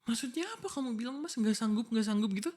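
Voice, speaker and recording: Man acting exasperated jealous fiancé, widely swinging pitch. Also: expressive, quick pace, darkish tone. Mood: defensive, exasperated. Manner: emotional, argumentative, pleading, full of pointed questions. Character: exasperated, jealous young Indonesian fiancé arguing with his partner. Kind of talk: boyfriend ASMR roleplay acting.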